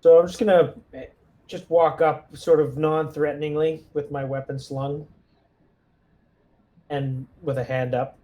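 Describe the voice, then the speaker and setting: A man chatting casually as a tabletop game player, relaxed and a little halting as he plans his moves. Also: fairly high pitch.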